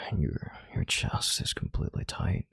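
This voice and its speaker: A man playing a commanding, calculating CEO in an intimate audio roleplay, speaking in a measured, halting, authoritative way with a deep, husky, gravelly voice. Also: a bit bright, dry recording, studio quality, close to an everyday voice. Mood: stern, reassuring. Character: commanding, calculating male CEO calming a panicked employee.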